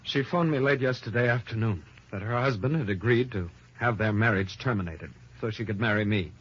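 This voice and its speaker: A man's voice: lowish voice, a little fast, husky. A man playing an earnest, anguished young accountant in an old radio detective drama, dramatic and insistent. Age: young adult. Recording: dull, muffled old radio recording.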